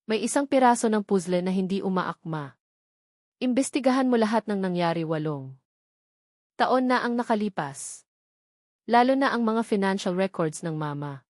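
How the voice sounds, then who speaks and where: A woman's voice: expressive, normal speed, pauses often, darkish tone, dry recording, natural voice. Female narrator as earnest Filipino male lead in story drama; serious, determined, dry sound. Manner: low-key, serious. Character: serious, determined man, a doctor in love drama.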